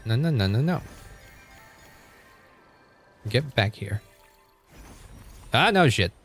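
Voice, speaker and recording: A man's voice: mid-range voice, very animated, takes it slowly, fairly bright tone, soft background music. A man livestreaming a game, chatting casually with viewers in a rambling, informal way with some mild swearing.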